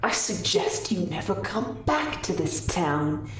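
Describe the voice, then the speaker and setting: Woman voicing a slow, menacing kitsune woman. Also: fairly low pitch, sing-song, fairly bright tone, exaggerated voice. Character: fierce, menacing kitsune woman, proud and vengeful. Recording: roomy echo, distant mic, faint noise.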